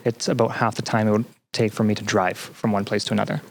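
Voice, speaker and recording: Halting man in casual chat. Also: fairly low pitch, flat delivery, gravelly. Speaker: podcast guest, student representative.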